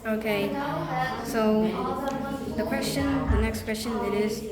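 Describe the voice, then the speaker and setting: A woman's voice: a little high, fairly even intonation, takes it slowly, loud music behind the voice. A woman acting as examiner in a mock speaking test, asking brief questions with an "okay, so" each time. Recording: echoey room with loud crowd.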